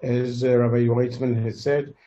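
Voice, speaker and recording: Mellow Israeli man, hesitant lecturer. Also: medium pitch, flat delivery, moderate pace, highs cut off, slight background noise. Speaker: fertility doctor, conference lecturer. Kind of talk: formal medical lecture.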